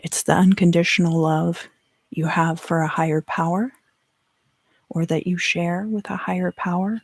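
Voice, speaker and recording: A woman giving an ASMR card reading for a live stream in a soft, unhurried, often fully whispered voice, close to the microphone. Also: fairly low pitch, fairly even intonation, gravelly. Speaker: ASMR tarot reader and live stream host.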